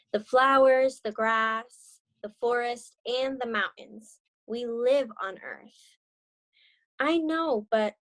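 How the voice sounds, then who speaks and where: Slow, high-voiced expressive woman storyteller. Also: rough recording. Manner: encouraging, expressive. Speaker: read-aloud co-host, story reader. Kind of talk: expressive children's storybook reading and chat. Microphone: video call.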